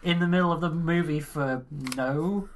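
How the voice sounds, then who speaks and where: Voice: medium pitch, normal speed, slight background noise. A podcast co-host in casual chat who swears freely, laughs mid-sentence and often trails off and restarts.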